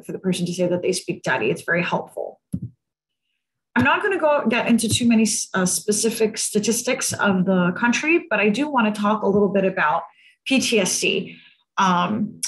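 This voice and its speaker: A woman's voice: mid-range voice, low-quality recording. A woman giving an explanatory talk over a video call in a conversational manner, checking in with listeners as she goes. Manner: conversational, seeks agreement with tag questions. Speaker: presenter, cultural trainer. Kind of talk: explanatory slide presentation to an audience. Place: indoor room on a video call. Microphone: video call microphone.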